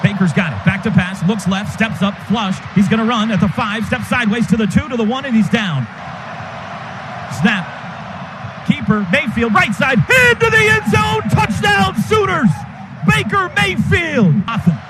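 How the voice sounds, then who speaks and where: A man with a high-pitched voice calls football live from a stadium radio booth, with clear noise behind him. His play-by-play comes in excited, clipped bursts that follow the action. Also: moderate pace, shouting. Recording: noisy stadium broadcast booth.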